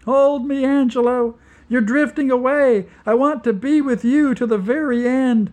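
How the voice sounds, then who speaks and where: A man reading aloud and voicing a shrill, panicking young woman who shrieks and squeaks in terror. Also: measured pace, a little mellow. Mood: frantic.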